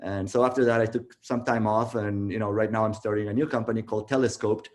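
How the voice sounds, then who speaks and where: A man in his thirties from Costa Rica, telling his story in a relaxed way with many fillers on a video call. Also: fairly low pitch, fast. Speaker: guest, tech founder. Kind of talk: casual virtual interview.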